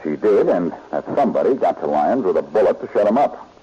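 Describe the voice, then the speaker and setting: An actor playing a confident, smooth-talking detective who questions pointedly, in a 1940s radio drama. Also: male voice, low voice, big pitch swings, brisk, warm tone. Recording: dull, noisy old radio recording.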